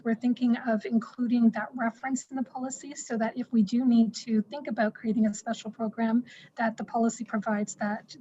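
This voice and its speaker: A woman on school board staff explaining a human rights policy in an online meeting, measured and explanatory with frequent fillers. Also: fairly high pitch, warm tone, dull audio, a little hiss or hum.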